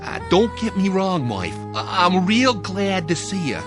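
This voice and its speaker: An American actor playing a loyal, worried diner owner in a noir audio drama, talking in a halting, folksy way. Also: male voice, high-pitched, very animated, music in the background. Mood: concerned. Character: gruff-hearted male diner owner, loyal worried old friend.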